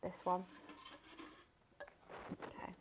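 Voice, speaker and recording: A woman in her thirties co-hosting a podcast, chatting casually as an animated storyteller who laughs often. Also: drawn-out words. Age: 30s. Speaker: podcast co-host. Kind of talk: casual chat.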